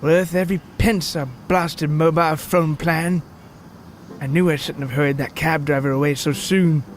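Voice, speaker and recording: A man's voice: a little high, steady tone, normal speed, slight background noise, close to an everyday voice. A man voicing a wry, affectionate British husband who grumbles, in an audio story with music. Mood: grumbling.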